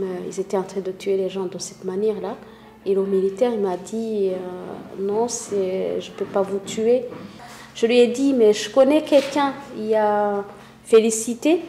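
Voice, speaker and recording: A woman in her fifties from Rwanda, giving her testimony in a halting way, pausing often to search for words. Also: medium pitch, mellow, reverberant, slight background noise. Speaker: interviewee, witness. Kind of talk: spoken testimony.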